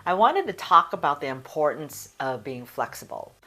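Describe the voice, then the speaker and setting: An American woman chiropractor talking through a stretching routine, calmly guiding breaths and holds. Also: sits low, moderate pace, over loud music. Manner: calm, guiding with breathing cues. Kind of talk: instructional stretching demonstration.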